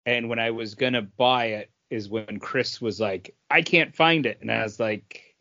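A man's voice: mid-range voice, a little slow, pushed and bright, dry recording. A man co-hosting a podcast, explaining things casually and often repeating himself. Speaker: podcast co-host. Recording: dull, lo-fi sound.

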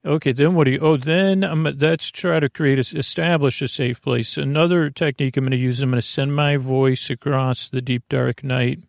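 A man with a creaky voice hosting a bedtime podcast, meandering and halting through a lulling, soothing monologue full of tangents. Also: mid-range voice, fairly even intonation, no room sound, clean studio sound. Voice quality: creaky, gravelly.

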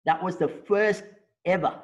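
A man's voice: high voice, steady tone, moderate pace, muffled recording. An older man in his sixties with a South African accent, a native Afrikaans speaker, telling his life story at length in an interview and checking in with rhetorical questions. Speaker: interview guest.

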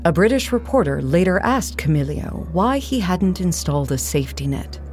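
A narrator reading scripted historical material for an audio play in a measured, matter-of-fact way, with music underneath. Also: mid-range voice, very animated, darkish tone. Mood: measured, factual.

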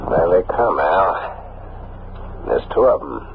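A man playing a gruff, wary prospector father guarding his claim in an old-time radio drama. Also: low-pitched, sing-song, takes it slowly, heavily acted. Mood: low, tense. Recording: tinny vintage radio recording, faint noise.

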